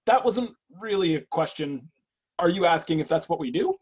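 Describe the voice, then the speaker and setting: A man's voice: a little high, animated, normal speed, a little hiss or hum, natural voice. A man in a script reading, playing a composed, businesslike personal assessor who probes people. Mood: calm, probing. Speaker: actor in a screenwriters' readers theatre.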